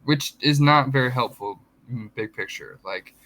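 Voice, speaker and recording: Man with a bright sharp voice, explains stuff on a podcast, corrects himself a lot. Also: mid-range voice, normal speed, rough recording. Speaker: podcast co-host. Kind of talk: casual political chat.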